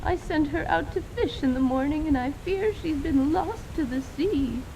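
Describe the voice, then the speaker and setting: A woman's voice: sits high, moderate pace, mellow, a little hiss or hum, poor audio. A woman playing an elderly, teasing, fondly scolding grandmother in radio theater, with quaint, folksy, theatrical phrasing. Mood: mock troubled. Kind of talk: dramatized radio theater acting.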